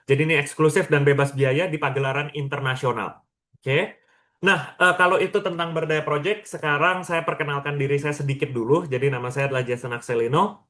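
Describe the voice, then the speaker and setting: A man's voice: a little high, steady tone, brisk, a bit bright. Young man presenting conversationally. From Lombok, Indonesia. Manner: conversational, checks in with listeners using questions and tags. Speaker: webinar speaker, education practitioner. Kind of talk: informal presentation to an online audience.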